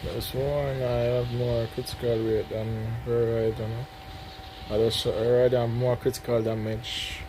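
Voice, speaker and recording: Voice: medium pitch, flat delivery, moderate pace, noisy background. A livestreamer chatting casually and a bit hesitantly while playing a game. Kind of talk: casual gaming livestream chat. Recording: loud game music behind. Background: game music.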